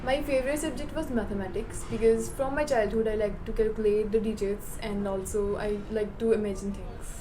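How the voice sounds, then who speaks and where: A woman's voice: medium pitch, fairly even intonation, fast talker, hardly any pauses, warm tone. A young woman from northern India, a native Punjabi speaker with an Indian accent, answering speaking exam questions with hesitant fillers and a few grammar slips. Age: young adult. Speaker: exam candidate. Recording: noisy background ambience.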